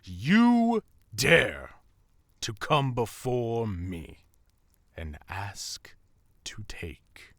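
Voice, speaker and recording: A man playing a stern, possessive royal father in a fantasy audio drama, husky and halting, with an imperious, menacing manner. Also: medium pitch, wildly swooping pitch, a bit bright, no room sound, clean studio sound, exaggerated voice. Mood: incredulous, menacing. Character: stern, possessive king, anguished father of ailing princess. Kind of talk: audio roleplay drama acting.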